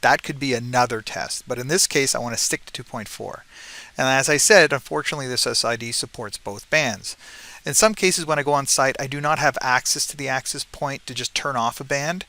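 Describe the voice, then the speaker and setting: A man presents a technical tutorial in a bright, crisp-edged voice at a middling pitch. He talks informally, like a technician explaining his routine, and often tags his points with a quick check that the listener follows. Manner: conversational, frequently checks with "right?".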